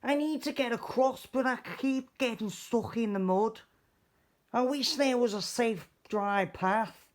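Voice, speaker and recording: A man's voice: very high-pitched, a little slow, pitched above the performer's own voice. A man voicing a woeful, helpless storybook dog with a plaintive whine.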